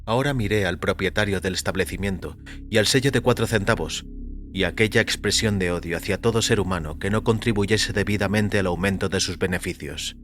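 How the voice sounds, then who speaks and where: A man reading a first-person short story as a narrator, in a measured, deliberate way. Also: sits low, fairly bright tone. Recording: music under the voice. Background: background music.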